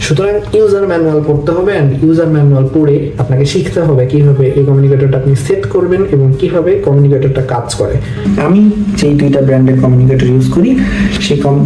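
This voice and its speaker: A man with a Bangladeshi accent giving an explanatory review as a vlog host, mixing English phrases into his speech. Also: on the high side. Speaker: motovlog host and motorcycle rider. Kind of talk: explanatory product review talk to camera. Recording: echoey room, distant mic, music underneath. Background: background music.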